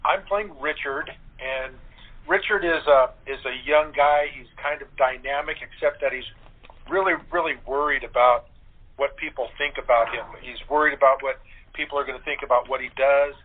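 A man chatting as an interview guest, explaining in a slightly halting, repetitive way. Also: mid-range voice. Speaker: guest voice actor in radio plays. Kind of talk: casual interview chat. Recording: thin radio-like phone line.

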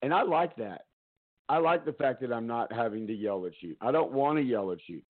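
A man hosting a casual talk stream from home, loud-voiced, rambling and chatty, often laughing, talking into a headset. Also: medium pitch, expressive, a little fast, phone-quality sound. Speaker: talk show host.

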